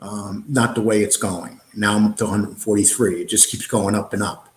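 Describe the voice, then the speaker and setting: A man's voice: lowish voice, fairly even intonation, normal speed, reverberant, a little hiss or hum, poor audio. A man with a New York accent hosting a live online show, answering viewer comments in a blunt, combative way, with many fillers and restarts.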